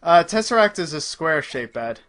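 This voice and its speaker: Male gameplay host, voice a touch high, casual and explanatory, slightly pedantic. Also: sing-song, moderate pace, a bit bright. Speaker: host playing the game. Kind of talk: casual gameplay commentary chat.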